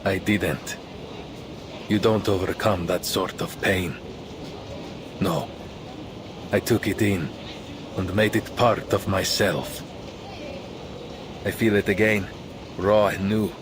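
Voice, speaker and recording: An actor playing a brooding, grief-hardened male assassin in a game, speaking in a solemn, measured way. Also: low-pitched, steady tone, background noise. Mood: grim resolve. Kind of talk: dramatic visual novel dialogue. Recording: game audio with music behind. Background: game music.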